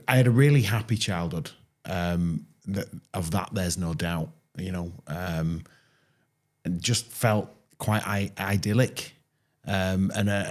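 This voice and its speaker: A man from East Leeds with a Yorkshire accent, a podcast guest telling childhood stories in a friendly, relaxed way. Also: low voice. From East Leeds, England. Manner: friendly, relaxed storytelling with fillers. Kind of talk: casual interview storytelling.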